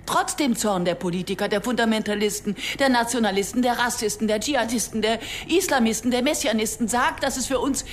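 A woman's voice: a little high, brisk, few pauses, a little hiss or hum, lightly acted. An actress playing a passionate, argumentative woman who tells her own story in a German radio drama, sardonic and insistent. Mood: urgent, pleading.

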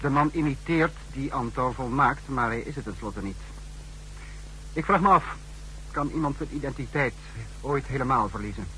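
A man playing a stern, commanding secret service chief in a 1960s radio drama, with formal, measured delivery. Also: mid-range voice, pushed and bright, slight background noise, natural voice, rough recording. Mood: brooding. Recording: humming old 1960s radio recording.

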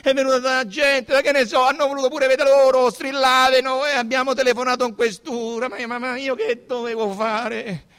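Man acting flustered young male suspect, Roman accent, high pleading voice, halting, stammering, self-justifying, radio drama. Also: flat delivery, fairly bright tone, clean tone. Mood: agitated, defensive. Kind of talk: dramatised radio literary reading.

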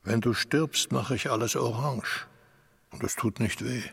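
Dream sequence role: deathly soothing apparition, breathy rasp, crackling, hushed, drenched in reverb. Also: male voice, mid-range voice, a little slow, heavily acted. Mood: eerie, soothing. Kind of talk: radio drama dream sequence. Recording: long reverberant tail.

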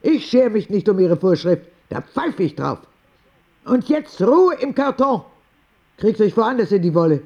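A woman's voice: mid-range voice, sing-song, measured pace, dark tone, muffled recording. A woman playing a blunt, kindly old grandmotherly figure, wry and plain-spoken, in a radio drama. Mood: indignant.

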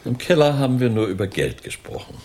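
A man's voice: mid-range voice, big pitch swings, quick pace, a little mellow, slight background noise, close to an everyday voice. An actor playing a hard-nosed, calculating gang member in a radio crime drama, emphatic and lecturing in his delivery. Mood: controlled, wary.

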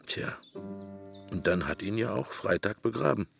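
An actor playing a wry, brooding middle-aged man murmuring a wandering inner monologue in a radio drama, gravelly and husky. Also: on the low side, expressive, moderate pace, music in the background. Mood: wry musing. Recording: roomless, very dry studio sound.

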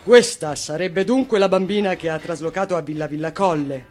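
A woman's voice: lowish voice, brisk, darkish tone, faint music behind, lightly acted. A woman voicing a stuffy grown-up policeman in a storybook, condescending, officious and lecturing. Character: stuffy, patronising adult policeman, insistent and exasperated.

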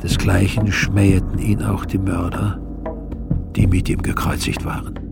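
An actor playing a solemn evangelist, narrating scripture in a measured, grave way for a radio drama. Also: male voice, deep voice, little pitch movement, gravelly, over loud music, full character voice. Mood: grave narration.

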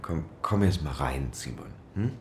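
A man playing a calm, mediating father in a German audio drama, firm when needed. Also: very deep voice, normal speed, gravelly, a little hiss or hum, exaggerated voice. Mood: soothing.

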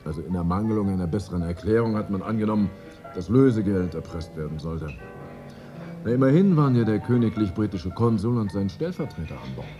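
An actor playing a well-informed gentleman in a radio play, explaining things in a genteel, conversational way. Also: on the low side, lively intonation, brisk, faint music behind, natural voice. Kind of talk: 1980s German radio play dialogue.

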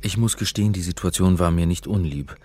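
An actor playing a harried, dry scholar in a radio drama, speaking in dialogue and first-person narration. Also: male voice, deep voice, fairly quick, warm tone. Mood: wry narration. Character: harried, dull scholar son on the run.

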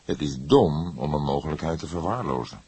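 Male reader as older detective, low husky voice, calm, wry, disapproving, unhurried lecturer, audiobook character. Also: no room sound, exaggerated voice.

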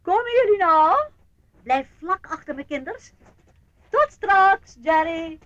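An actress playing an unflappable older aunt in a radio play, chatty and brisk, reassuring the young visitors she shows around. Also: very high voice, lively intonation, a bit bright, no echo, dull audio, exaggerated voice. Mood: brisk, concerned. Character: aunt showing young visitors round her old estate, unflappable.

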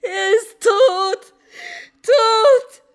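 A woman playing a devoted, grieving young noblewoman in a radio drama, breathy and intense, lingering on her words. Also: very high voice, drawn-out words, heavily acted. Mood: desperate grief. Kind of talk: theatrical radio drama acting.

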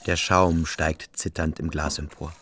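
A very low-pitched man narrates a literary radio play. His scripted prose is set over clearly audible ambient noise. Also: level tone, measured pace, a bit bright.

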